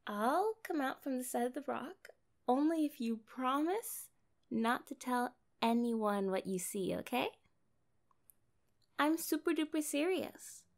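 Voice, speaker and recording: A woman voicing a kind, naive young mermaid in an ASMR roleplay, playful and chatty as she speaks straight to the listener. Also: sits high. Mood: coy, insistent. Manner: playful, chatty, expressive, drawn-out phrasing.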